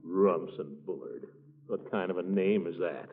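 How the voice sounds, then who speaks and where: A man's voice: low voice, animated, unhurried, breathy edge, full character voice. A man playing a blustering, pompous small-town uncle with an exclamatory manner in a 1940s radio comedy. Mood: scornful. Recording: muffled narrow-band 1940s radio recording.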